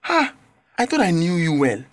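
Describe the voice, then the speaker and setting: A man's voice: a little high, huge pitch swings, normal speed. A man playing a betrayed, hot-tempered young friend with a Nigerian accent, confrontational and exclamatory in a radio drama. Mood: angry.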